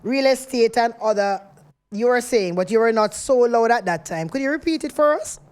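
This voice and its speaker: A woman's voice: on the high side, lively intonation, moderate pace, a little mellow, clean tone. A woman hosting a radio interview, chatty and often repeating words as she searches for them. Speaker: radio host.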